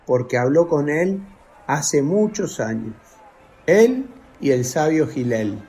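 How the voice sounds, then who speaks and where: An actor playing a young disciple in a radio drama, answering earnestly and firmly. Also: male voice, fairly high pitch, a little mellow. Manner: earnest, firm replies.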